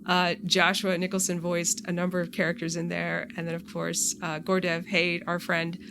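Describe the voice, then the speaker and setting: A woman's voice: lowish voice, completely flat delivery, moderate pace. A woman who develops game mods, giving casual, reflective answers in a conversational interview style. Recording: clearly noisy background.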